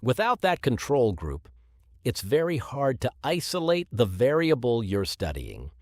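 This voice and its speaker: Male actor as authoritative professor, critical and precise, highly varied intonation, dry close sound. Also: wildly swooping pitch, a little slow, a little mellow, no echo. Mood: measured, approving. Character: male professor supervising students, exacting and measured. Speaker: academic supervisor. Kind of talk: scripted exam listening dialogue.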